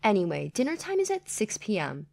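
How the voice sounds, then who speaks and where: A woman's voice: mid-range voice, huge pitch swings, fairly quick, gravelly, a little mellow. A woman voicing a scornful, scolding older mother-in-law in a dramatized online story. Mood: accusing. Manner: scolding, demanding.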